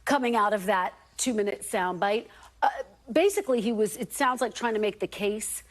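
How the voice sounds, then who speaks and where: A woman anchoring a television news show, with crisp, brisk broadcast delivery as she interviews and introduces segments. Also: medium pitch.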